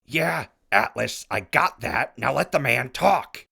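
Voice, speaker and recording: An actor in an audio drama playing a gruff, exasperated and weary wasteland survivor with a raspy voice. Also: male voice, mid-range voice, animated, takes it slowly, bright, forceful tone, full character voice.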